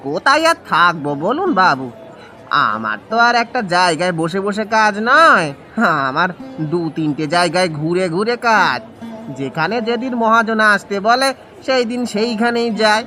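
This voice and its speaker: A man's voice: sits high, sing-song, normal speed, bright, forceful tone, faint music behind, heavily acted. A man voicing a humble, pleading village fish seller in a cartoon, deferential and imploring. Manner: deferential, imploring, calls out like a vendor. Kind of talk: cartoon voice acting in Bengali village story.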